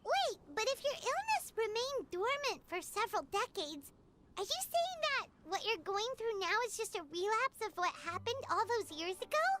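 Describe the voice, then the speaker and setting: An actress playing a tiny, chatty, childlike fairy companion in a video game, with a squeaky, eager, cartoonish delivery. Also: female voice, very animated, moderate pace. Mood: puzzled. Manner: squeaky, refers to self in third person.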